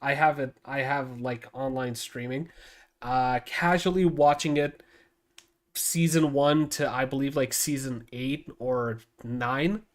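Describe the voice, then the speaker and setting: A man's voice: medium pitch, fairly even intonation, takes it slowly. A man with a nasal voice streaming casually, thinking aloud as he edits a video, rambling with many restarts. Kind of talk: casual livestream talk while editing video.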